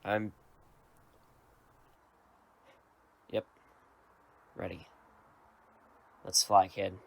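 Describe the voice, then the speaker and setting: A man playing a protective superhero mentor in an audio drama, steady and in command as he gives instructions. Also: low voice, slow, vocal fry, slight background noise, exaggerated voice. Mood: businesslike.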